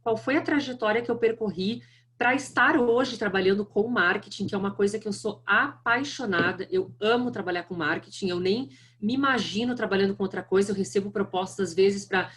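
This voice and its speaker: A woman's voice: medium pitch, a little fast, fairly bright tone. A middle-aged woman with a strong southern Brazilian accent, giving a friendly, chatty guest lecture over a video call. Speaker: guest speaker. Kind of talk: online lecture.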